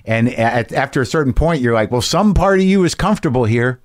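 Brief: blunt fifties host, mid-pitched voice, digs into personal stuff. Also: male voice, expressive, a little fast. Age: 50s. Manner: frank, profane, probing. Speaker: podcast host. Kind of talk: casual conversation.

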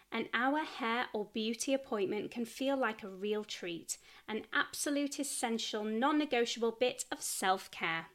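A woman's voice: a little high, fairly bright tone. A woman podcast host speaking in an effusive, encouraging way, moving between scripted intros and chatty interview.

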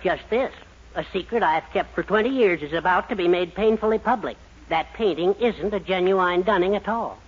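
A man's voice: sits high, normal speed, slight background noise, close to an everyday voice. An actor playing a henpecked, sheepish middle-aged American father with mournful, melodramatic phrasing in a 1940s radio sitcom. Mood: gloomy, dramatic. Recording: dull 1940s radio recording.

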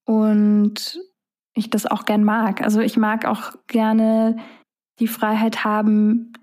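A woman's voice: medium pitch, monotone, slow pace, a little mellow. A young woman, a singer-songwriter whose first language is German, talking casually in an interview, musing with many fillers.

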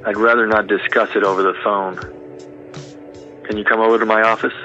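A man's voice: fairly low pitch, flat delivery, a little fast, sharp tone. An actor playing a composed, guarded man of business, speaking formally and with control in an audio drama phone call. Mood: cool, guarded. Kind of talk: naturalistic audio drama dialogue. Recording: dull, narrow phone call. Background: faint music.

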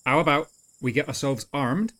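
Man playing a chummy, practical ghost hunter, medium pitch. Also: moderate pace, pushed and bright. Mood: rallying. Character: practical, chummy male ghost hunter rallying his friends.

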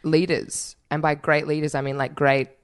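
Young female co-host, low register, chatty and laughing, trips over her own sentences. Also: level tone, normal speed, smooth. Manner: giggly, rambling, self-correcting. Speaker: podcast co-host. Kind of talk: casual chat.

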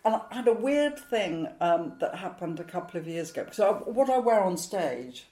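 A woman's voice: fairly low pitch, very animated, normal speed, mellow, echoey room. A woman in her sixties, a stand-up comedian, answering interview questions in a chatty, rambling, self-mocking way with frequent laughs. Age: 60s.

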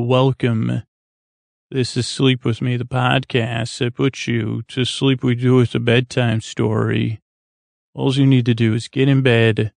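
A man hosting a bedtime sleep podcast in a creaky, soothing voice, rambling haltingly with long pauses and meandering tangents. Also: mid-range voice, no echo, studio quality. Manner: lulling, soothing. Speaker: podcast host.

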